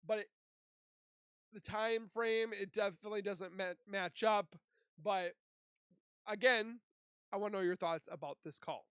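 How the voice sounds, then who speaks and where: A woman giving casual, unscripted commentary as a host, halting as she searches for words. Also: medium pitch, smooth, dry recording, clean studio sound.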